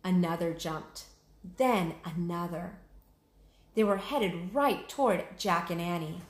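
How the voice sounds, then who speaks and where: A woman's voice: lowish voice, slow. A woman hosting a read-aloud, narrating a children's storybook expressively. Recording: echoey room.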